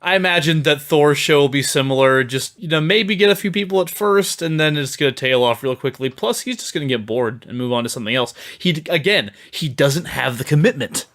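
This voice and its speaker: A man's voice: a little high, fairly quick, hardly any pauses, fairly bright tone. A man on a comedy debate podcast, arguing casually as a panelist.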